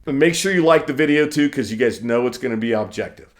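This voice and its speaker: A man's voice: mid-range voice, animated, fast talker. A young man hosting his own channel, sick and losing his voice, talking casually and profanely to camera through a condenser mic in a room with poor acoustics. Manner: loose, profane, opinionated. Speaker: firearms channel host. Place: indoor lounge room.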